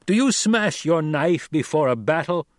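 A man voicing a young male desert leader who speaks forcefully and questions his listeners rhetorically, in an audiobook. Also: a little high, animated, measured pace, dry recording, studio quality, pitched above the performer's own voice. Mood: commanding. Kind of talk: audiobook character voice.

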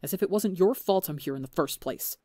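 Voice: medium pitch, expressive, brisk, warm tone, no echo, studio quality, close to an everyday voice. A storybook reader voicing a bitter, resentful young male pirate crewman who lashes out with accusations in a raised voice. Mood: bitter accusing.

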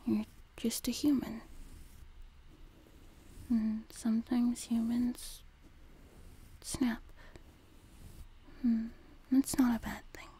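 Sweet young female demon companion played by a woman, ASMR roleplay: breathy whisper, lightly high, very unhurried, gentle and reassuring. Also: flat delivery, full character voice. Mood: earnest, reassuring. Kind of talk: whispered ASMR roleplay, intimate personal attention. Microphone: close binaural microphone. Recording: noticeable background noise, close binaural mic.

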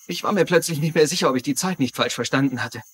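An actor playing an ambitious, smooth-talking politician in a dubbed TV mystery, with a husky voice and persuasive manner. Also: male voice, a little high, fast, fairly bright tone, a little hiss or hum, lightly acted. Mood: casual, interested. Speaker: actor in a German dub.

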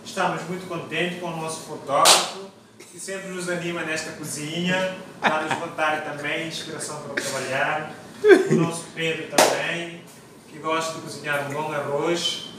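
A man's voice: a little high, steady tone, normal speed, a crowd in the background. Cheerful young man in an echoey kitchen. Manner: cheerful, joking, inviting. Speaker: seminarian cook. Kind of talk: casual greeting to camera. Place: large tiled kitchen indoors. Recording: echoey kitchen, distant phone mic, loud noise.

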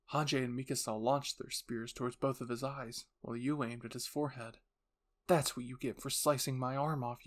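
Male narrator, mid-range pitch, for dramatic scripted fiction. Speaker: narrator and voice actor.

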